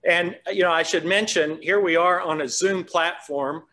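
A man's voice: high-pitched, moderate pace, sharp tone. An older man hosting a virtual awards event over a video call, reading a formal welcome and asking interview questions. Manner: polished, formal announcer delivery. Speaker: host, association president. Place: open-plan office, indoors.

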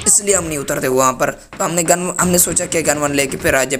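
A hoarse young man with a cold from Pakistan, giving chatty, playfully indignant commentary over his own gameplay as a gaming channel host. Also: fairly high pitch, noisy background.

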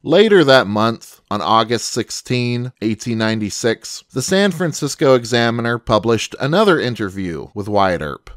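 A man's voice: medium pitch, big pitch swings, normal speed, few pauses, no echo, clean studio sound. A man delivering scripted historical documentary narration as the narrator.

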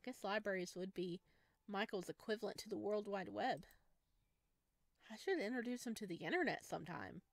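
A woman's voice: mid-range voice, expressive, brisk, dry recording. A woman doing a visual novel let's play, reading aloud and reacting in a chatty, playful, giggly way. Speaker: game streamer.

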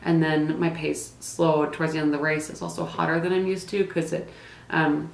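Young woman vlogger, casual and rambling, with a creaky low voice in a roomy indoor space. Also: level tone, moderate pace. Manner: chatty, lots of fillers and "like". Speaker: running vlogger. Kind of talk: casual race recap to camera. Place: small indoor room, plain wall.

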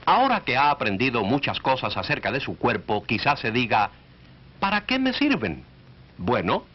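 A man narrating a 1940s educational film in an instructive way, speaking directly to the listener. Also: medium pitch. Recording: dull, band-limited 1940s film soundtrack.

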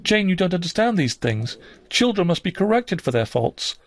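A man's voice: high-pitched, big pitch swings, moderate pace, no echo, pitched above the performer's own voice. A man voicing a stern but uneasily placating genteel aunt in a storybook reading, with a coaxing manner. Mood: coaxing, firm.

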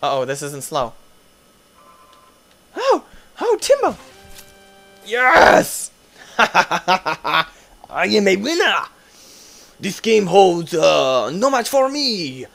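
A young man doing goofy gameplay commentary, tired, his voice deeper late at night, swinging between low mumbles and excited yelps. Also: drawn-out words, vocal fry, long pauses. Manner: goofy, playful, jumps between mumbling and yelping. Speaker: gaming youtuber.

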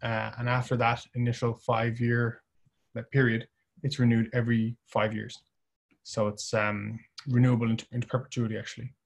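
A middle-aged man speaks over a video call in a flat, even tone, giving a slide presentation. He talks in measured phrases, often pausing on fillers and restarting a sentence. His voice sits at an ordinary pitch for a man. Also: creaky. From Ireland. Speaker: company chief presenting at a webinar. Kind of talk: webinar presentation.

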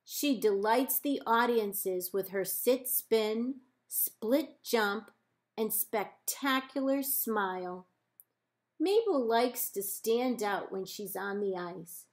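A woman librarian reading a children's picture book aloud in measured, deliberate phrases with pauses. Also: fairly high pitch. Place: indoors, book held up to camera.

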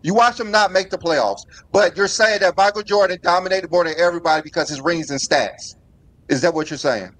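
A man's voice: sits high, a little fast, fairly bright tone, rough recording. A man hosting a debate on a video call, pressing with probing questions and cutting in by repeating himself. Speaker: host. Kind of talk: debate moderation.

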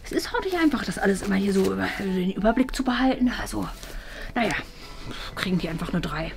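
A woman playing a scatterbrained, muddled teacher in a comedy sketch, dithering haltingly and repeating herself. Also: mid-range voice, lively intonation, gravelly. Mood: flustered. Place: indoor living room. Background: music.

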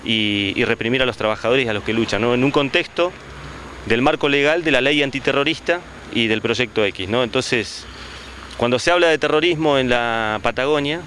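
Man in his 40s, union spokesman, bright tense voice, street mic, faint noise. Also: medium pitch. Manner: denouncing, measured. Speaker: union leader. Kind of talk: press statement. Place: outdoors on a town street. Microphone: handheld reporter mic.

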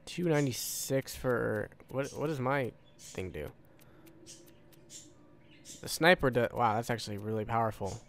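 A man's voice: mid-range voice, measured pace, gravelly, pauses often, fairly bright tone. A man giving casual live gameplay commentary, offhand and profane, musing aloud. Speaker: gamer recording a walkthrough.

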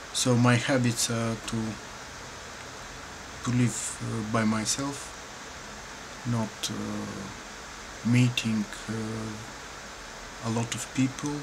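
Brief: middle-aged Bulgarian-accented man, unhurried, pausing often, hum of room noise behind. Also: mid-range voice, little pitch movement, creaky. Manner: slow, halting, thoughtful, many pauses and fillers. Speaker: painter and filmmaker. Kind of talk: answering interview questions to camera. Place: dim room indoors at home.

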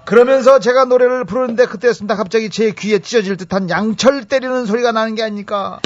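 A man's voice: high-pitched, fast, dry recording, highs cut off, lightly acted. A man voicing a hapless, self-pitying female office clerk who tells her story plaintively in a radio dramatization. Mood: flustered.

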